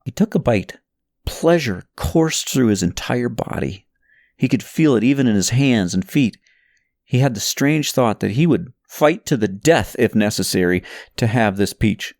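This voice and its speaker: Male audiobook narrator for a parable novel, mid-pitched voice, expressive dramatic storytelling, very dry close sound. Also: a little slow, no echo.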